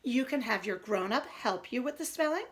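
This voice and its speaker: Female early-years teacher, high-pitched and very animated, reading aloud and explaining simply. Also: normal speed. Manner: expressive, clearly explaining. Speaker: teacher of young children. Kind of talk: read-aloud storytelling and simple instructions for children.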